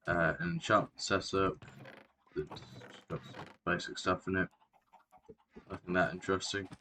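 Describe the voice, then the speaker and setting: Deep-voiced man with a sore throat. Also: fairly bright tone, a little hiss or hum. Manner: rambling, self-correcting, many fillers. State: sore throat, clearing throat and coughing. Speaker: Minecraft video creator. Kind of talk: casual gameplay narration.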